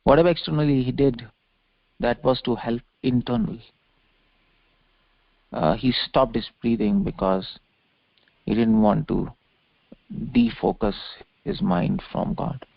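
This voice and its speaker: Gravelly male preacher on a muffled line. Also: mid-range voice, a little slow, long pauses, dry recording. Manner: didactic, repeats phrases for emphasis. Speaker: religious preacher giving a lecture. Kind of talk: extemporaneous scripture lecture with verse explanation. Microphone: conference call. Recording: muffled lo-fi conference call audio.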